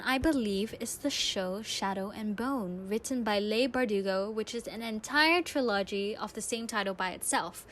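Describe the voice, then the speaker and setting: A woman's voice: medium pitch, fairly bright tone, slight background noise. A young adult woman hosting a podcast, delivering scripted radio-style talk and recommendations. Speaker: podcast host.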